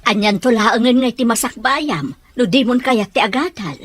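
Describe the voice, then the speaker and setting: A woman playing a breathy, wise mother. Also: on the high side, moderate pace, a bit bright, no echo. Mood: persuasive. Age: middle-aged. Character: wise caring middle-aged mother. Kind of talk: Ilocano radio-style audio drama.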